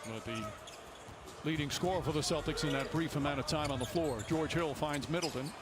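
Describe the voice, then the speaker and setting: A man's voice: on the high side, brisk. A man giving basketball commentary with player stories, as a play-by-play announcer on a game broadcast. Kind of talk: sports commentary with background stories. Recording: noisy game broadcast with court sounds.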